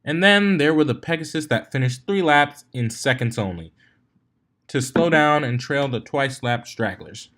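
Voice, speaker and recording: A man with a middle-pitched voice narrates a written story. The reading is homemade in feel, with words now and then stumbled over and taken again. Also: expressive, normal speed, a bit bright. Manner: amateur reading with stumbles and retries. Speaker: fan fiction reader.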